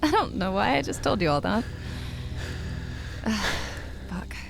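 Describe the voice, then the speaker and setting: A woman's voice: on the high side, sing-song, slow, creaky, music in the background, full character voice. A woman acting a wounded, defensive woman in her twenties in a dramatized audiobook, tough and guarded. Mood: bitter, guarded. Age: 20s. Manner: guarded, bitter, profane. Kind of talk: dramatized audiobook, first-person recounting.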